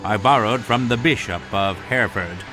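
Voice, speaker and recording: A man voicing a downcast, grieving knight who speaks slowly and heavily, his tone changed from his narration. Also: on the low side, music in the background, natural voice. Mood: sad. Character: weary, downcast older male knight.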